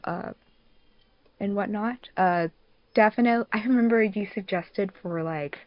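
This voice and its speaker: A woman's voice: medium pitch, moderate pace, no room sound, lo-fi sound. A giggly, rambling woman hosting a casual group podcast. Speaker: podcast host, fandub production crew member.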